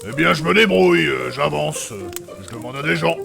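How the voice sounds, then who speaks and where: Guy acting a super gravelly boastful brute, blunt and mocking, fantasy audio comedy, music under it. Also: fairly high pitch, fairly quick, bright, forceful tone, exaggerated voice. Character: gruff, crude, boastful wandering tough guy of fantasy.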